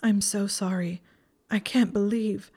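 A woman's voice: mid-range voice, moderate pace, a little mellow, natural voice. A woman voicing an anguished, guilt-ridden and fierce young female student in an audiobook, urgent and insistent. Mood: anguished, apologetic.

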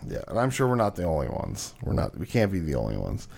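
A man co-hosting a podcast in casual chat, with a loud voice that carries, profane and fond of telling stories. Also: low-pitched, huge pitch swings, fast talker, gravelly, slightly rough. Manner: profane, storytelling, laughing often. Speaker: podcast co-host.

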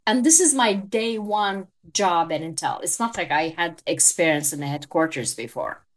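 A woman's voice: lowish voice, normal speed. A woman in her fifties from Turkey with a Turkish accent, a guest in an interview telling her career story reflectively, with frequent small laughs.

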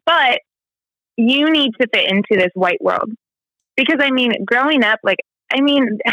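A woman's voice: mid-range voice, bright, forceful tone, no echo, rough recording. A woman in her thirties from the American Midwest, talking candidly in a casual conversation, full of "like" and "you know". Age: 30s. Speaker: guest. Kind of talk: personal conversation.

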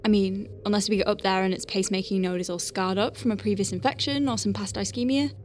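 A woman's voice: mid-range voice, steady tone, fast, a little mellow, slight background noise, close to an everyday voice. A woman playing an anxious but wry medic piloting a craft in a science fiction audio drama, thinking aloud in technical terms. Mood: focused, thoughtful.

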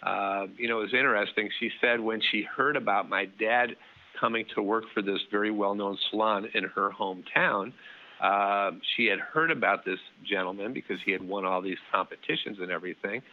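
A middle-aged man with a fairly low voice talks in an easy, rambling way, full of ums and restarts. He sounds tinny and thin, as if heard over a phone line. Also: a little fast. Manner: relaxed, many fillers and restarts. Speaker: interview guest. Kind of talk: casual storytelling interview.

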